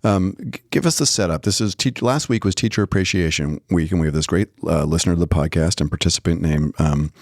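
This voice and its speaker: A man with a notably low voice in a close, dry recording. He talks casually as a podcast co-host, explaining things conversationally and checking in with his listener. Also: fast talker, few pauses, dry recording.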